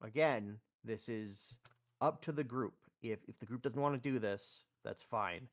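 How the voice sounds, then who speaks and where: A man with a mid-pitched voice talks as a player in a tabletop roleplaying game. His delivery is casual gameplay chat. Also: measured pace.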